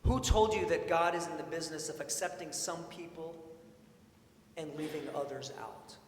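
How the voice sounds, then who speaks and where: A man preaching a sermon in an informal, expressive way, with storytelling and questions repeated for emphasis. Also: on the high side, moderate pace. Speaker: preacher, priest. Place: large indoor church sanctuary. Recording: echoey church hall, long reverb, faint noise.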